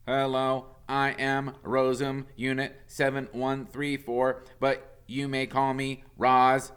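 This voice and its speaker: A man voicing a polite female robot who speaks in a calm, formal, mechanical way in a storybook reading. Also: medium pitch, takes it slowly, clean tone, pitched above the performer's own voice.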